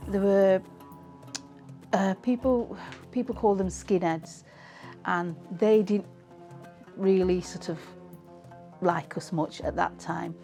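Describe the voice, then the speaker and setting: A woman's voice: medium pitch, slow pace, long pauses, mellow, soft background music. A woman, reminiscing in a conversational, reflective way as she is interviewed. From England.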